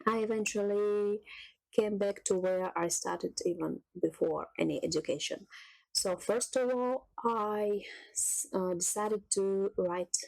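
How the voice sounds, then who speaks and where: A woman talks through her story in an unhurried, chatty way, slipping in soft little laughs. Her pace is slow and easy. The voice sounds dry and close, with almost no room around it. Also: mid-range voice, creaky, no echo, poor audio. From Russia. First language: Russian. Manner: unhurried, chatty, laughs softly between thoughts. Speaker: cooking channel creator. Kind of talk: casual personal vlog monologue.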